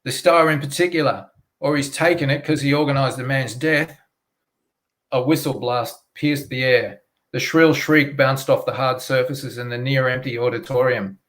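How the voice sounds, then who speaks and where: A man's voice: mid-range voice, fairly even intonation, moderate pace, fairly bright tone. A man with a mid-range voice, speaking as a guest author. He moves between informal talk and narrating fiction from the printed page. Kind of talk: casual chat and reading his fiction aloud.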